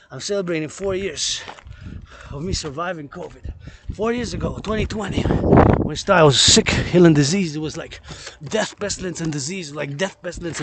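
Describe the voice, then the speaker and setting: A man vlogging casually on an outdoor walk, rambling and circling back to repeat his phrases in a chant-like way. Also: fairly high pitch. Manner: repetitive, chant-like rephrasing. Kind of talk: rambling outdoor walking vlog, casual chat. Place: outdoors in a park, walking among trees. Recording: noisy outdoor recording with clicks.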